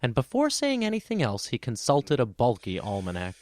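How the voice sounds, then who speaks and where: A man narrating a children's story as scripted, expressive storybook narration. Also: medium pitch, fairly quick.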